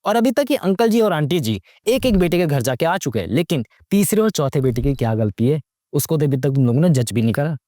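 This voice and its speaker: A young man delivers mocking, slangy movie commentary. His voice sits a little high and swings widely in pitch as he fires off rhetorical questions. The sound is dry, with very little room around it. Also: big pitch swings, a little fast, mellow, dry recording. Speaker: YouTube movie reviewer. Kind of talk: casual, sarcastic movie commentary.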